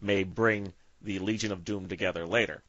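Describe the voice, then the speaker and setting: Low-voiced man interviewing casually. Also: fairly even intonation, normal speed, a bit bright, no room sound, dull audio. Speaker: podcast interviewer.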